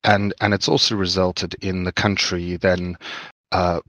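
A man from Zimbabwe, co-hosting a podcast, talks in a halting, thoughtful way and often repeats his words. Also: low-pitched, flat delivery, fairly bright tone, no room sound. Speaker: podcast co-host. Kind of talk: casual chat.

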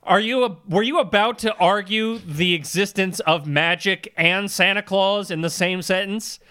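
A man's voice: sits high, normal speed, sharp tone, clear, resonant tone. A man co-hosting a podcast, giving casual comedic commentary in a wordy, mock-formal way. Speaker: podcast co-host.

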